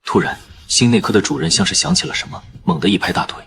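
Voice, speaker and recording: A man narrating in the first person as a calm surgeon, in measured scripted narration. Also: on the low side, vocal fry, heavily acted. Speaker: narrator and lead character, a surgeon.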